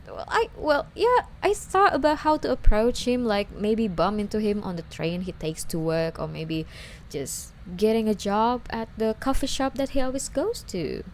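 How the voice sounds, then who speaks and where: A woman's voice: medium pitch, lively intonation, normal speed, a little mellow, slight background noise, close to an everyday voice. A woman playing a lovesick, obsessive young stalker under interrogation in an ASMR roleplay, with a coy, wheedling, hesitant delivery. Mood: flustered, indignant.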